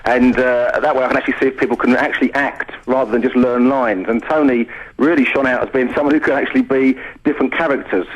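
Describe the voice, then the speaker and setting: Fast-talking British man, slightly dull radio sound. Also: mid-range voice, steady tone. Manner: effusive, talkative. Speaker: pantomime director and drama teacher, interview guest. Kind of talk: conversational radio interview.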